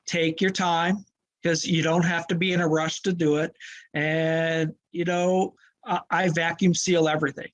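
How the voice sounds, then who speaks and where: A man's voice: on the high side, level tone, a little slow. A man talking as a guest about hunting in an easygoing, casual way. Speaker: guest hunter. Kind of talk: casual chat.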